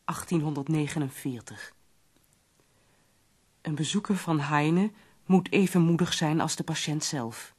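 An actress playing an earnest, concerned visitor, speaking in formal literary phrases in a radio drama. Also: female voice, low voice, a little slow, long pauses, darkish tone, slightly rough. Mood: grave, narrating. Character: earnest, concerned visitor of a sick poet, recounting memories. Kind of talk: radio drama acting and narration.

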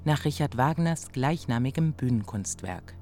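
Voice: mid-range voice, animated, moderate pace, mellow, faint music behind. An announcer reading scripted titles for a radio drama series.

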